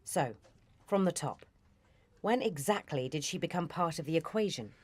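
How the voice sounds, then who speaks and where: A woman's voice: low-pitched, animated, moderate pace, darkish tone, slightly rough. A British woman playing a composed senior intelligence officer in a radio drama, formal, firm and businesslike. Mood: firm, controlled.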